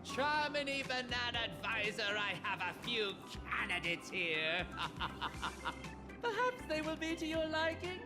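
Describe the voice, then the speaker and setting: A smooth, flattering director who sweet-talks his cast. His voice sits high and moves a lot, and he talks slowly. Loud game music plays behind him. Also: full character voice. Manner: polished, persuasive, flattering. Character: smooth, flattering male film director, showman.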